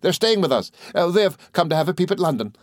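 A man voicing an exuberant, gushing, good-natured young gentleman in an audiobook, full of excited exclamations and repetitions. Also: a little high, fast, no room sound, studio quality, pitched above the performer's own voice. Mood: happy, flustered. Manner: effusive, exclaiming, repeats himself excitedly. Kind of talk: audiobook character voice.

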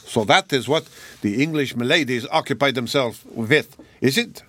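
A man voicing an elderly, courteous German gentleman in a storybook, with a German accent and a particular, exclamatory way of explaining. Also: medium pitch, moderate pace, natural voice. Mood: musing. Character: venerable old German gentleman, musing and instructive.

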